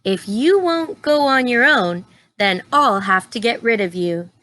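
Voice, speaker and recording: A woman's voice: fairly high pitch, expressive, unhurried, sharp tone. A woman voicing a determined, easily annoyed male bear in a children's storybook, speaking emphatically. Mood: firm, annoyed. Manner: emphatic, exclaiming and sighing.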